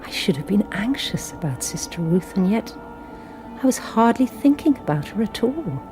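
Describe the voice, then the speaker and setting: A woman's voice: medium pitch, sing-song, normal speed, close to an everyday voice. A commanding young Sister Superior with a clipped, firm delivery, played by an actress. Her voice sits in the middle range, and faint music is heard under the radio play. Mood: reflective.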